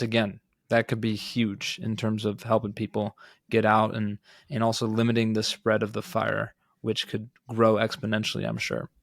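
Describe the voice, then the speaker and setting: A man co-hosting a podcast, discussing startup news in a measured, analytical, semi-scripted manner. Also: on the low side, creaky, dry recording, clean studio sound. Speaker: podcast co-host.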